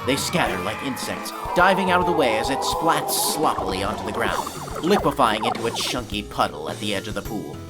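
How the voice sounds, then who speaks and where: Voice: medium pitch, very animated, brisk, hardly any pauses. A narrator delivering dramatic scripted narration in a vivid, theatrical manner for an audio drama. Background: music.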